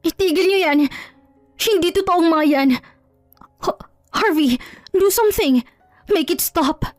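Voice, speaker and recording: A woman's voice: extremely high pitch, a little slow, dry recording, pitched above the performer's own voice. A woman voicing a catty, scheming young mistress. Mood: panicked. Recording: dry, faint music underneath.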